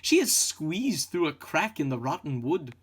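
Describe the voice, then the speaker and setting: A man reading a children's picture book aloud as scripted narration, with expressive storytelling delivery. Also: a little high, measured pace, slightly rough. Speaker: storybook reader.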